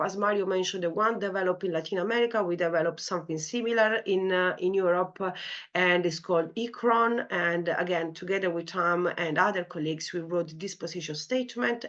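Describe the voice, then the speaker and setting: A woman's voice: fairly low pitch, highs cut off. A woman with an Italian accent, a senior lecturer in clinical psychology, presenting a conference talk with many "you know, like" fillers. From Italy. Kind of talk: academic conference talk with slides.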